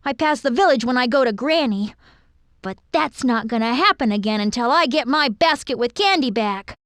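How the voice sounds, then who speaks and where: A bossy, chatty fairy-tale girl for a cartoon game. Her voice is high and sassy, recorded dry with no room sound. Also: expressive, moderate pace, a bit bright, no room sound. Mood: indignant. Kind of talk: cartoon video game acting.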